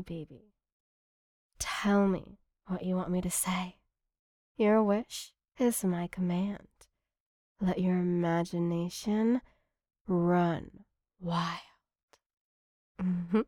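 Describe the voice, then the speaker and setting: A woman performing intimate adult ASMR straight to the listener, murmuring seductively and often slipping into a breathy whisper, close to the mic. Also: mid-range voice, warm tone, dry recording. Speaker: erotic audio performer. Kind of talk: erotic ASMR audio addressed to the listener.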